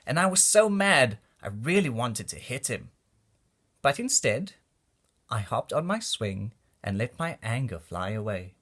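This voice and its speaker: A man narrates a children's storybook in rhyming verse. His medium-pitched voice moves up and down in a very animated way. He takes his time, delivering each phrase deliberately and expressively for young children.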